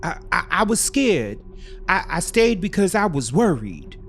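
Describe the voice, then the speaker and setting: Nervous, guilty boyfriend in a thriller, acted by a male narrator: stammered excuses, pitched up, pleading. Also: sing-song, unhurried, a bit bright, slight background noise, exaggerated voice. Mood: scared, defensive.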